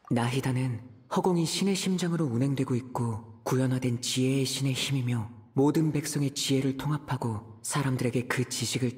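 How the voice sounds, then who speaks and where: A man playing a composed young traveler in a game, speaking calmly and reflectively. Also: mid-range voice, close to an everyday voice. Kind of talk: scripted game character monologue.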